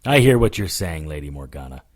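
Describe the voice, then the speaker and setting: A man's voice: rumbling low, sing-song, a little fast. A man playing a wry, defiant supernatural investigator who narrates his case in an audio drama, dry and firm. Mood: restrained. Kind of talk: first-person detective audio drama.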